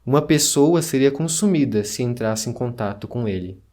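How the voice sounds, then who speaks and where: Guy acting as a solemn myth chronicler, normal mid pitch, measured scripted storytelling for audio drama. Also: expressive, darkish tone, natural voice. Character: solemn male chronicler reading ancient myths. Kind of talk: scripted narration of legends.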